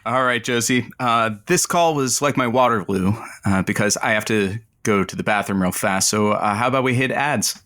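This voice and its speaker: An actor playing a dry, deadpan radio producer and sidekick bantering in an audio comedy. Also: male voice, fairly low pitch, moderate pace. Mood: hurried.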